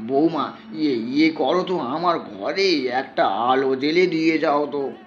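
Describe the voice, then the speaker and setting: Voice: medium pitch, highs cut off, faint music behind, close to an everyday voice. A narrator voicing an elderly village grandfather and father-in-law, guarded and hesitant, in an audio story. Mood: flustered, guarded. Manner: drawn-out calling and hesitant, repetitive speech. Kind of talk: acted dialogue in an audio story.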